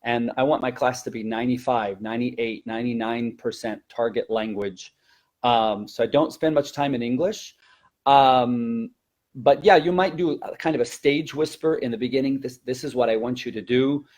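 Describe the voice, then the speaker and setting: A man teaching in a conversational webinar style, explaining and demonstrating in an animated way as a presenter and teacher trainer. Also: mid-range voice, normal speed.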